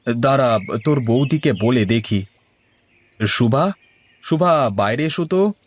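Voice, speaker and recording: A man's voice: medium pitch, expressive, slow pace, no echo. A man voicing a middle-aged village father in a moral story cartoon, anxious to get his daughter married. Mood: matter-of-fact.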